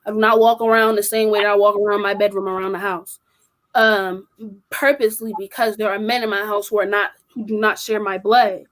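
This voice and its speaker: A woman's voice: medium pitch, steady tone, a little fast. Blunt young woman speaking her mind. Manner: blunt, emphatic, strong-willed. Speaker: podcast co-host. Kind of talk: casual group discussion.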